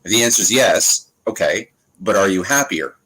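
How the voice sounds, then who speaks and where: A man in his thirties in casual, reflective conversation, thinking aloud in a halting way. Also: low voice, expressive, bright, forceful tone, slightly rough, low-quality recording. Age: 30s.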